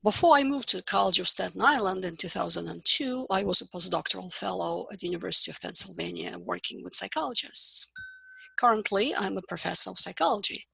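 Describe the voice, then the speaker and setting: A middle-aged woman from Russia with a Russian accent, a psychology professor giving a measured, explanatory course introduction with occasional fillers. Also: medium pitch, lively intonation, vocal fry, sharp tone, breathy edge, no room sound, poor audio.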